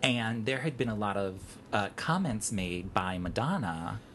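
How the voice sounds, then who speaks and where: A man co-hosting a podcast, chatting casually and excitedly with a friend. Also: on the low side, a little hiss or hum. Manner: chatty, animated, quick to agree. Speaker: podcast co-host. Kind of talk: casual chat.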